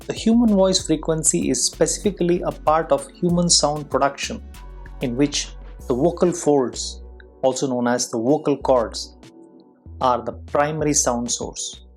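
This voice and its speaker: An instructor giving an explanatory lesson in a measured, formal, clearly enunciated way. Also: mid-range voice, darkish tone, faint music behind. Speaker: soft skills instructor and public speaker.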